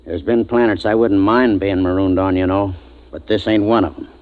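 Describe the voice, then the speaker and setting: Man playing low-voiced, uneasy old-radio spaceman. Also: steady tone, moderate pace, natural voice. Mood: foreboding. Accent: American. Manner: blunt, colloquial, foreboding. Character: superstitious, uneasy, salty male spaceship crewman. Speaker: radio drama actor. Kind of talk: vintage radio drama acting. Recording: muffled, phone-like vintage radio recording.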